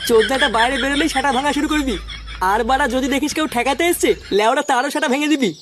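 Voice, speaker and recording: Woman doing a high fast-talking cartoon bully guy, crude n blustery, bit of noise. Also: lightly acted. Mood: blustering anger. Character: hot-headed, bullying male cartoon club tough. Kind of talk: comic cartoon dub.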